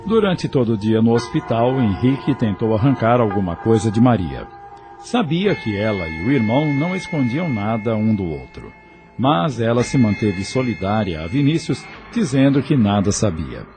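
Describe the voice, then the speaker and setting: A man narrating an audio drama in a measured storytelling manner. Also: fairly low pitch, very animated, over music.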